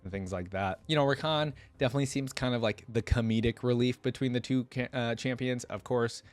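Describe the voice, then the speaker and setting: A man's voice: mid-range voice, fairly quick, faint music behind. A man hosting a reaction video, reading a story aloud and breaking off for casual, reflective commentary. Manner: casual, stops to explain and reflect.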